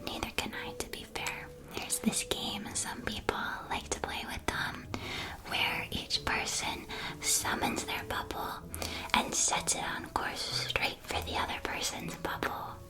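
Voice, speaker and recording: Woman playing a friendly magic candy shop lady, whispers real slow n soft, asmr roleplay. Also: natural voice. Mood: playful, reassuring. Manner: gentle, unhurried, reassuring sales patter. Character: friendly, whimsical woman shopkeeper selling magical sweets.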